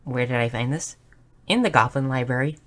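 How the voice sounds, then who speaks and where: A woman voicing a bookish, excitable young goblin girl in an audio roleplay, curious, shy and slightly stammering. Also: rumbling low, a little fast. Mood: earnest, fascinated. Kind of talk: scripted audio roleplay addressed to the listener.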